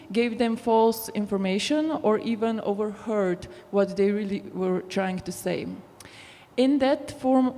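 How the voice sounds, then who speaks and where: A woman's voice: mid-range voice, warm tone. Woman presenting in a very echoey hall. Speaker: conference panel speaker. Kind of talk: explanatory conference presentation. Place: indoor conference venue. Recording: echoey hall with long reverb.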